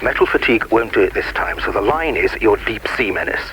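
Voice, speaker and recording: An actor playing a brisk, bossy broadcast editor in a radio drama, brusque, clipped and businesslike. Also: male voice, lowish voice, animated, a bit bright, slight background noise, rough recording. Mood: urgent, brusque.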